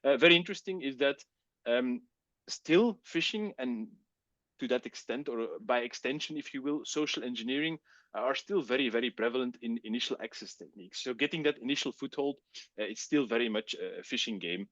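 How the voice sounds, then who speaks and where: A Belgian man whose first language is Dutch, with a Flemish accent, presenting a technical webcast in a relaxed, chatty way with many fillers. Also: medium pitch, brisk, no room sound, muffled recording.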